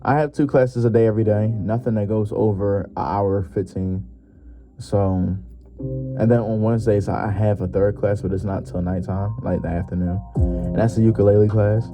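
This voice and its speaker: A man's voice: fairly low pitch, fairly even intonation, moderate pace. A 21-year-old man hosting his own podcast, talking loosely and jokingly with lots of slang and fillers. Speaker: podcast host. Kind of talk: casual solo podcast monologue. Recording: muffled lo-fi with music behind.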